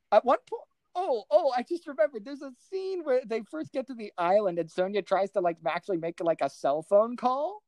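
A woman co-hosting a podcast, recapping movies in a casual, rambling, excitable way and often interrupting herself. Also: medium pitch, no echo. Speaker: podcast co-host.

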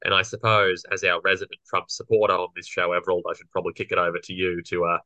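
Low croaky-voiced man. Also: flat delivery, fast, pushed and bright, no room sound. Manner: explanatory, lawyerly. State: slightly croaky voice. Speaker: podcast co-host. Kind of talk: casual political chat.

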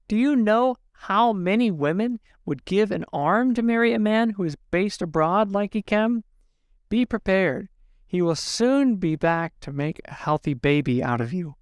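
Woman voicing a scornful older mother-in-law, raised pitch. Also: normal speed, clear, resonant tone, no room sound, studio quality, close to an everyday voice. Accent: Nigerian. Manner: rhetorical, scolding.

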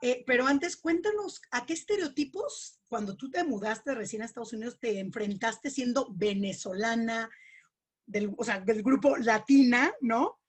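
A woman's voice: on the high side, normal speed, breathy edge. A woman hosting a podcast, asking her guest questions in a prompting way. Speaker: podcast host.

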